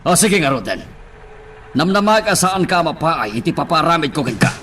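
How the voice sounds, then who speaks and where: A man's voice: a little high, sing-song, normal speed. A man with an Ilocano accent playing a scheming crime boss in a radio drama, giving orders to his henchmen. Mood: secretive, menacing. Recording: loud music under the voice.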